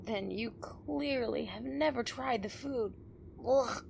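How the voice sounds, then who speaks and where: A woman playing an injured, stubborn, sardonic tough woman in an audio drama, often hushed and breathy. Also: a little high, takes it slowly, exaggerated voice. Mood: wry. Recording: clear background ambience noise.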